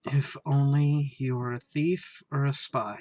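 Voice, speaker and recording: An actor playing a gruff, tormented emergency ship pilot in a radio drama, terse and weary under strain. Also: male voice, mid-range voice, little pitch movement, unhurried, a little mellow, no echo, lightly acted. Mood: grim, reluctant. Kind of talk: radio drama acting with narrated inner monologue.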